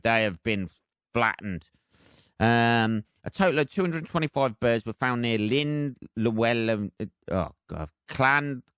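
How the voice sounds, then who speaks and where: A man's voice: mid-range voice, a bit bright, smooth, no room sound, clean studio sound. A British man hosting a solo comedy podcast, ranting casually in a sweary, sardonic, rambling way. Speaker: podcast host.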